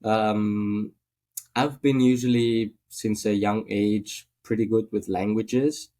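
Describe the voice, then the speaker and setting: A man's voice: on the low side, steady tone, slow, mellow. A man from Italy with an Italian accent, a guest in a casual podcast interview, speaking thoughtfully with many fillers.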